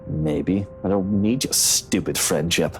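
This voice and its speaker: An actor playing an arrogant, scornful playboy in a visual novel, with a sarcastic, derisive manner. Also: male voice, sits low, slow, slight background noise. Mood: sulky. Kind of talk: dramatic game voice acting.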